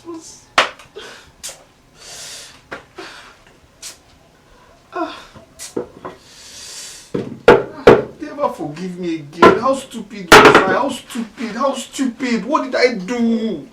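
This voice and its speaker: A young man begging his family to take him back. His voice swings widely in pitch, and he keeps repeating his pleas. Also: expressive, normal speed, very noisy. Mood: anguished. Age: young adult. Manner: pleading, repeating himself. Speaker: estranged husband and father. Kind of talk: film drama acting.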